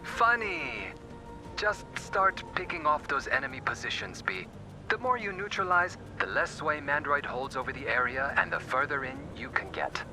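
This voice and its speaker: An actor playing a loyal human sidekick who guides missions in a video game, chatty and informal. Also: male voice, fairly high pitch, sing-song, moderate pace, over music. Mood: encouraging. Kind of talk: video game cutscene acting.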